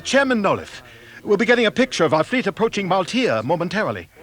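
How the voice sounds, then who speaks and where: An actor playing an anxious fleet officer with urgent, theatrical delivery in a 1970s science fiction audio drama. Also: male voice, high-pitched, big pitch swings, fast talker, over music.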